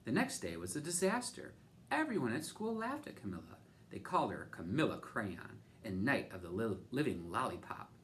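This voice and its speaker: A man narrates a children's picture book with dramatic, expressive emphasis. His pitch swings widely from phrase to phrase. There is faint noise behind the voice. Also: moderate pace. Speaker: storyteller reading a children's picture book aloud. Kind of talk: animated read-aloud narration.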